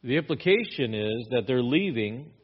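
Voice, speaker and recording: A man's voice: mid-range voice, expressive, moderate pace, husky, lo-fi sound. A man pastor teaching a sermon verse by verse in a conversational manner, often restarting his words.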